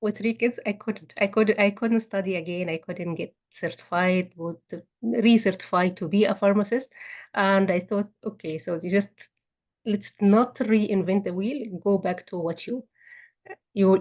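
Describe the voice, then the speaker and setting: A woman's voice: medium pitch, a little slow. Woman telling her career story. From Egypt. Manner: frequent restarts and repeated words, warm laughing asides. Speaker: meetup speaker. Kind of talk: personal career story talk.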